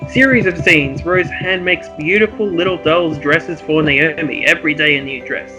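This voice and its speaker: A man co-hosting a podcast, chatting and reading script directions in a slightly halting, conversational way. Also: on the high side, dull audio, music in the background. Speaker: podcast co-host and script narrator. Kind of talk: casual chat and reading of screen directions.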